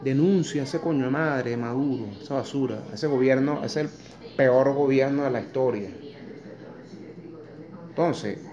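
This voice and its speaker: A man's voice: mid-range voice, measured pace, pauses often, a crowd in the background. A man in his forties with a Venezuelan accent, recording an angry, blunt opinion video to camera at home, swearing and mocking with sarcastic asides. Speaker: vlogger. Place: kitchen at home indoors. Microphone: phone camera held close. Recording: echoey room on phone.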